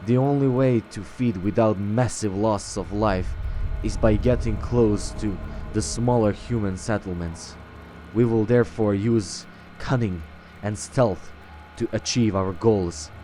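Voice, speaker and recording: A man with a fairly low voice hosts a game roleplay. He reads text aloud in a halting way, tripping over words now and then. Game music plays clearly behind him. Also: warm tone. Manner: halting, stumbling over words when reading. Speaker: let's-play roleplay host. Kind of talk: reading game text aloud and role-playing dialogue.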